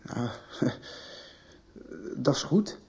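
Low, mellow, lively bookish pupil. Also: female voice, very slow, vocal fry, heavily acted. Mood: eager, curious. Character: eager, bookish secondary-school pupil, polite and curious. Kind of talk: Dutch radio soap acting.

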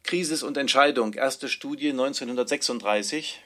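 A man's voice: medium pitch, moderate pace, fairly bright tone. A man announcing manuscript titles and dates in a plain, matter-of-fact way.

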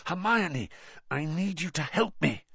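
Guy doing a stressed teenage boy wizard for an audiobook, high n swoopy, touchy, exasperated. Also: sing-song, normal speed, pushed and bright, dry recording, highs cut off, exaggerated voice. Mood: urgent. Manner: defensive, exasperated, urgent. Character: anxious, hot-tempered teenage boy wizard.

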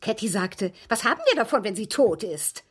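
An actress playing a genteel, aggrieved widow in a German radio drama, speaking in precise, formal, dramatic phrases with a husky voice. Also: female voice, mid-range voice, expressive, normal speed. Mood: bitter, composed. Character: genteel, aggrieved widow recounting her captivity.

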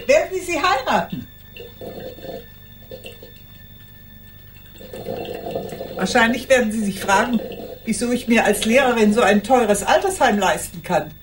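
An actress playing an old retired schoolteacher who speaks formally and deliberately in a confessional radio play monologue. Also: female voice, fairly high pitch, background noise, natural voice. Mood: frank, proud. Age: older. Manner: formal, deliberate, addressing a silent listener.

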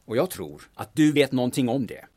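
A man's voice: medium pitch, sing-song, darkish tone. An actor playing a blunt, pushy male investigator from the capital in a radio drama, curt, insinuating and threatening. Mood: probing.

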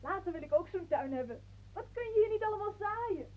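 Very high-voiced dreamy schoolgirl. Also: lively intonation, a little fast, darkish tone. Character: dreamy, headstrong schoolgirl niece, cheeky with her aunt. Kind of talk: theatrical radio play acting.

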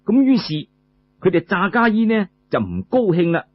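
A man's voice: sits high, sing-song, moderate pace. A man with a Cantonese accent narrating a traditional tale in a colloquial, phrase-by-phrase way. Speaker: storyteller. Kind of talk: traditional oral storytelling narration. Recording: muffled lo-fi recording.

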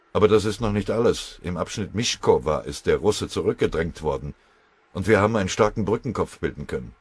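A triumphant military officer, performed by a male narrator, delivers his report with confidence and emphasis. The pitch moves freely and the voice is a little husky, recorded dry. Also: dry recording.

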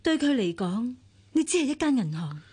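A jealous, composed companion. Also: female voice, a little high, very animated, normal speed, darkish tone, breathy edge. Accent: Hong Kong Cantonese. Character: poised woman, jealous longtime companion. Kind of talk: radio drama acting.

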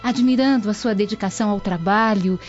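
A woman's voice: mid-range voice, brisk, a little mellow, natural voice. Woman playing an earnest young Roman woman. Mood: admiring. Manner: earnest, formal storytelling. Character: devout, lovelorn young Roman granddaughter, tender, sorrowful. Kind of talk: dramatic radio soap acting. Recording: dull lo-fi, music behind.

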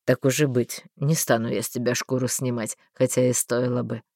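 Brief: a woman doing a gruff, weary male sorcerer, low and dry, strict but caring. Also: flat delivery, moderate pace, dark tone, dry recording. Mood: stern. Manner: stern, muffled. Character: stern weary male sorcerer, protective guardian.

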